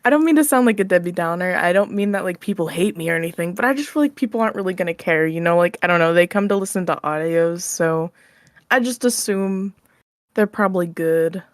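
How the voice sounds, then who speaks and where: A woman's voice: fairly low pitch, expressive, quick pace, dry recording, studio quality. A young adult woman chatting casually as an interview guest, giggly and informal, often hedging what she says. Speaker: podcast guest, audio roleplay creator.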